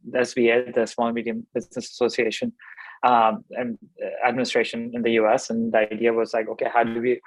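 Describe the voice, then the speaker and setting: Founder from Pakistan, male, 30s, reflective and halting, mid pitch, dry recording. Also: no room sound, rough recording. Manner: thoughtful, many fillers and restarts. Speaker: startup founder guest. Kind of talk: conversational interview answers.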